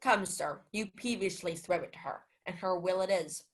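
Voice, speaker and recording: A woman's voice: lowish voice, normal speed, close to an everyday voice. Young woman playing a haughty, scolding male steward. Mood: haughty, peevish. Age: young adult. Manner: pompous, indignant. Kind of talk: 1940s radio play acting of Shakespeare.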